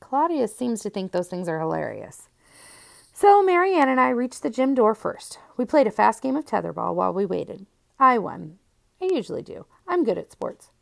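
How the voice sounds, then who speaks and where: A woman reading a children's storybook aloud in an expressive first-person narration. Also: a little high, normal speed, gravelly. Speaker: audiobook reader.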